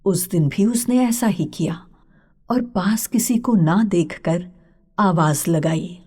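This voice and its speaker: A woman narrating a literary story as a scripted storyteller, in a husky, breathy voice. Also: fairly low pitch, slow pace, darkish tone.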